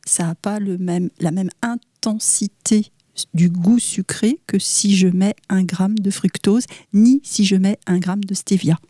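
A woman food engineer, a guest on a radio show, explaining sugar in a hesitant way, with many pauses and fillers. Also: mid-range voice, steady tone, dry recording. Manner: hesitant, many "euh", soft half-whispered asides.

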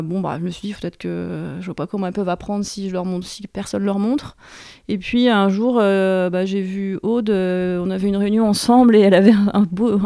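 Woman in her 40s, smooth mellow voice, lots of ums, chatting about knitting. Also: mid-range voice, dry recording, clean studio sound. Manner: hesitant, many fillers. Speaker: knitter sharing her experience. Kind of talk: casual chat.

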